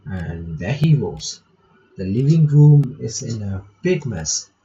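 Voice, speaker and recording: Bright-voiced man reading a game aloud. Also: mid-range voice, very animated, slow, vocal fry, lo-fi sound. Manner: breaks off reading to comment and reread lines. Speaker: gaming reaction video maker. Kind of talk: reading visual novel text aloud with casual commentary.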